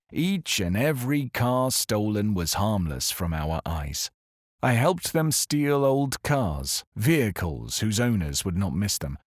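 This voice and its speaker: An actor playing an earnest, weary exiled therapist, speaking solemnly and deliberately in a dramatic audio-fiction monologue. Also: male voice, medium pitch, dry recording, clean studio sound.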